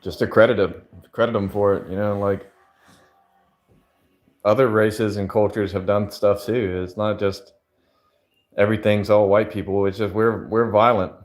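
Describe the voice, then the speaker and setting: A man's voice: lowish voice, level tone, moderate pace, pauses often. A man livestreaming and answering chat in a loose, rambling way, full of fillers. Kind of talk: casual chat.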